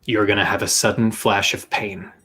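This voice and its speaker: Low-voiced male game master. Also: flat delivery, moderate pace, bright, forceful tone, slightly rough. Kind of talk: improvised tabletop game narration. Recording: music behind the voice. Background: music.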